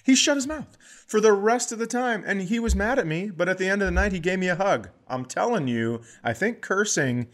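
A man's voice: sits high, lively intonation, a little fast, fairly bright tone. A man co-hosting a comedy podcast, telling a long story in casual chat and acting out the other people's voices.

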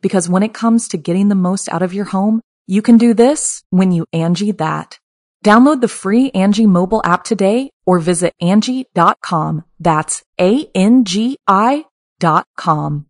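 A woman's voice: medium pitch, a little slow, no echo, clean studio sound. A woman announcer reading a scripted advertisement. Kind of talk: scripted ad narration.

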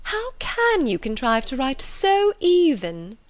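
A woman voicing a fawning young society lady who flatters and exclaims eagerly. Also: high-pitched, takes it slowly, dry recording, pitched above the performer's own voice, full character voice. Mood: gushing. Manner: effusive, flattering, rising exclamations.

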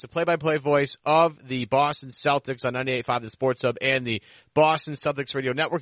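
Bright, higher-voiced man hosting a sports podcast. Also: dry recording.

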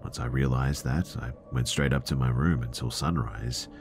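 Male horror-story narrator, exceptionally deep pitch, measured and suspenseful delivery, faint ambient noise underneath. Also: fairly even intonation. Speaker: narrator of listener horror stories. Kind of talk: scary first-person storytelling.

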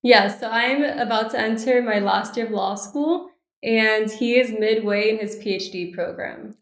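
A woman's voice: on the high side, unhurried, echoey room. An American woman in her twenties from Miami, speaking as a podcast guest in a cheerful, articulate, positive conversational way. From Miami, Florida, United States. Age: 20s. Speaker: podcast guest, bride-to-be and law student. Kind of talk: casual interview conversation.

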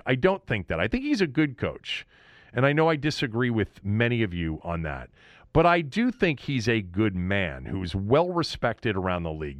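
Animated American man talking sports. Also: on the low side, no room sound. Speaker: sports podcast and radio host. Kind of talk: opinionated sports talk monologue to listeners.

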